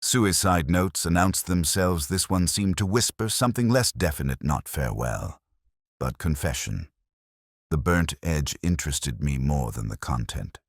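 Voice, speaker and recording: A man narrating a detective story in the first person in a measured, reflective, literary manner. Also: extremely low pitch, expressive, no room sound, clean studio sound.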